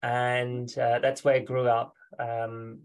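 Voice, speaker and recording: A German-accented man answers interview questions in a friendly, halting way, pausing and restarting as he searches for words. His voice sits at an ordinary middle pitch. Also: monotone, clean tone. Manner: hesitant, many fillers and restarts, friendly. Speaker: guest, ultra runner. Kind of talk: podcast interview.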